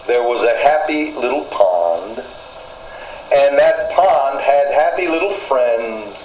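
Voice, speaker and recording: An older man preaching a sermon, deliberate, with folksy asides to his listeners. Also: medium pitch, a little mellow. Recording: roomy echo, faint background noise.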